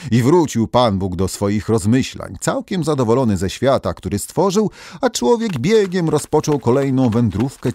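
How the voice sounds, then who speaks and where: A man narrating a folk tale as a storyteller, in an expressive, theatrical manner. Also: mid-range voice, a little hiss or hum.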